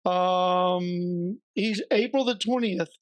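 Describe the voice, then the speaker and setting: A man co-hosting a podcast, slightly hoarse and raspy, telling a story in a chatty way with joking asides. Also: high-pitched, slow pace, no echo. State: a little hoarse. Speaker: podcast co-host. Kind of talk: casual podcast chat.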